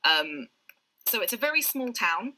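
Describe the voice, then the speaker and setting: A woman's voice: mid-range voice, very animated, a little slow, bright, forceful tone, poor audio. A British woman tutor explaining things in an online live session, with frequent ums and light laughs. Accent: British English. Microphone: video call.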